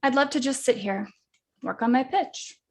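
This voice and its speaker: A prickly woman at a bar, played by an actress at a table read, who is curt and dismissive. Her voice sits high with a crackle of fry. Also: moderate pace. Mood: irritated. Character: blunt, irritable female bar patron and radio DJ. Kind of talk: film script table read.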